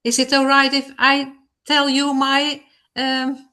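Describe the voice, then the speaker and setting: A woman with a high, bright voice. She chats casually, halting now and then to find words in a language that is not her first. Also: monotone. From Netherlands. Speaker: session participant. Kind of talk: casual chat.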